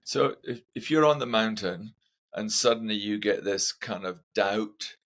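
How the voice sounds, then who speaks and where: A man's voice: fairly low pitch, measured pace, fairly bright tone. A Scottish man hosting a podcast interview, chatty and self-deprecating, asking eager questions. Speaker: podcast host. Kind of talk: casual interview.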